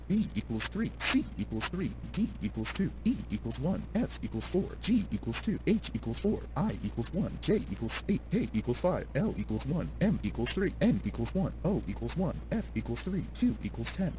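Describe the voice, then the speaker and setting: A man giving animated, sardonic narration of a comic adventure, with playful dramatic asides. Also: mid-range voice, slight background noise, poor audio. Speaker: narrator of a fan dub read-along.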